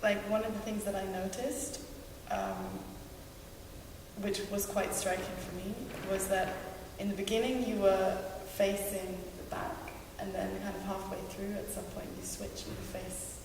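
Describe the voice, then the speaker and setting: A woman's voice: medium pitch, lively intonation, unhurried, distant mic. Woman interviewer, attentive, reflective, off-mic, echoey hall with background noise. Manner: attentive, brief affirmations and reflective questions. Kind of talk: artist talk interview.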